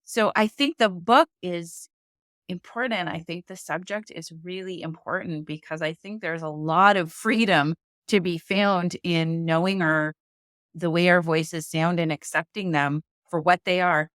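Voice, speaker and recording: A woman's voice: on the low side, unhurried, fairly bright tone, no echo, clean studio sound. A woman who co-hosts a book-discussion podcast and teaches speaking classes, talking earnestly and stressing her points. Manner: earnest and emphatic, repeats key points.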